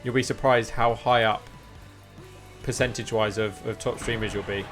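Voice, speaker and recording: A man's voice: lowish voice, fairly even intonation, moderate pace, music in the background. A man live streaming, chatting to his viewers in an informal, rambling, encouraging way. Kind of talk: casual chat with stream viewers.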